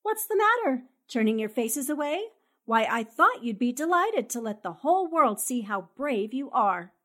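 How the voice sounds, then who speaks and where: An actress playing a fearless, sharp-tongued 1940s newspaperwoman in a radio drama, brisk and commanding. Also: high voice, sing-song, exaggerated voice. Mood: taunting, defiant.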